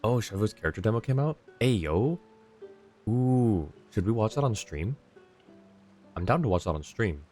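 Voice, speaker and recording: A man's voice: on the low side, very animated, normal speed, long pauses, warm tone. A man giving casual, reactive live commentary as a game streamer, in an informal way. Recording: game music under the voice.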